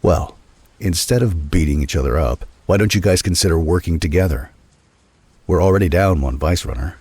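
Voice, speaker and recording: A man playing a calm, authoritative police lieutenant in an audio drama, soft-spoken but firm, with a husky voice. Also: low-pitched, moderate pace. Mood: calm, persuasive.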